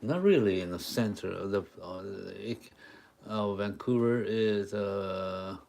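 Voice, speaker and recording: Slow-talking man with a Taiwanese accent. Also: lowish voice, a little mellow. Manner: halting, repeats short phrases, talks to viewers. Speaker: live-stream drawing artist and host. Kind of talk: casual live-stream chat with drawing demonstration.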